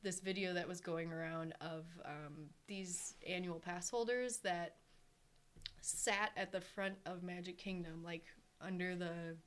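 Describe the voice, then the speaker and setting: A woman co-hosting a podcast in casual chat, talking in a rambling, animated way and acting out what others said. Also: on the low side, measured pace, clean tone. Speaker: podcast co-host.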